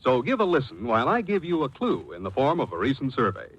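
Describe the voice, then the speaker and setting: A man's voice: mid-range voice, huge pitch swings, quick pace, husky, highs cut off. A man announcing in a polished, punchy 1940s radio advertising style.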